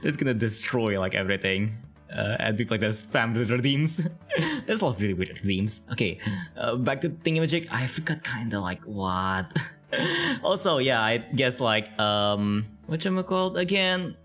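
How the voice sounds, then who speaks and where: A man's voice: medium pitch, expressive, moderate pace, a bit bright, faint music behind. A man hosting a casual interview stream, laughing often and filling his talk with "like" and "uh". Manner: giggly, filler-heavy, lots of "like". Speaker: interview show host. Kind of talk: casual chat over a video call.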